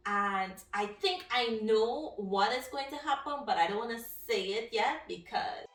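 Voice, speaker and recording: Bright-voiced woman vlogging in a roomy space. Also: fairly high pitch, measured pace, slight background noise. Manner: expressive, emphatic, conversational. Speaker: book review vlogger. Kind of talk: casual reaction and review to camera. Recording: roomy echo, distant microphone.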